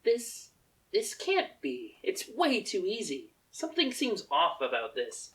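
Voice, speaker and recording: A woman's voice: medium pitch, slow, exaggerated voice. A woman voicing a cheery, childlike alien warrior girl, playful and competitive, in an amateur fan story reading. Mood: suspicious. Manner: playful, excitable. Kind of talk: amateur fanfiction character reading.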